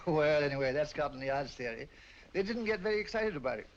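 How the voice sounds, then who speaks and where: Brief: actor as an affable British collector, lively higher voice, chuckly, muffled vintage sound with faint hiss. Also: male voice, fairly quick, natural voice. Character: affable middle-aged male collector. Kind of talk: 1940s film acting. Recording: dull old film soundtrack.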